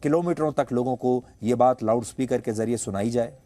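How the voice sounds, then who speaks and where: A man's voice: medium pitch, fairly quick. A man presenting a news report in a scripted narration style.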